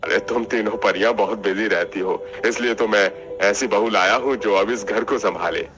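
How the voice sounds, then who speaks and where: Man playing firm, low-voiced older father-in-law. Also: normal speed, close to an everyday voice. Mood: sardonic. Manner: decisive, sardonic.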